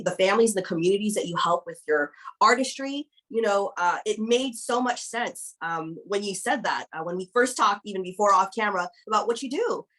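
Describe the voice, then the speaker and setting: A woman's voice: mid-range voice, fairly bright tone, low-quality recording. A woman hosting an interview, affirming her guest in a chatty way with many fillers. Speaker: interview host, company founder. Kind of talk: casual interview conversation.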